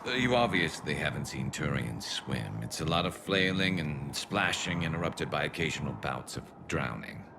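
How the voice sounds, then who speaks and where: A man voicing a cocky, wry alien soldier in a video game, gravelly, with dry teasing banter. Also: extremely low pitch, big pitch swings, moderate pace, hardly any pauses, noisy background, full character voice. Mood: wry, fond. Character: cocky, wry male Turian alien soldier and loyal friend.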